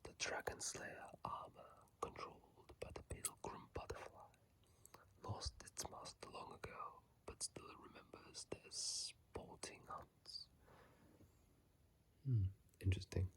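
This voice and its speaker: Soft rambling ASMR whisperer. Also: deep voice, huge pitch swings, a little slow. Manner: soft unvoiced whisper, rambling, with self-corrections. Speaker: ASMR gaming channel host. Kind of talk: casual whispered ASMR game commentary. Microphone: phone microphone.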